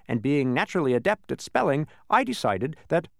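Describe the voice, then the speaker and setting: A man performs a giant storybook bee, proud, fussy and easily excited. The voice sits very high and swings widely as it shows off its spelling in a pompous manner. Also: a little fast, vocal fry, dry recording, clean studio sound. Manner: spells words out letter by letter. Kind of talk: animated storybook character reading.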